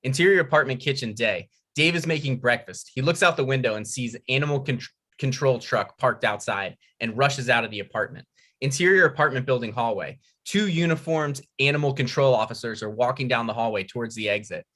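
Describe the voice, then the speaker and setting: A man's voice: mid-range voice, a little fast, sharp tone. A man narrating a script's stage directions at a table read.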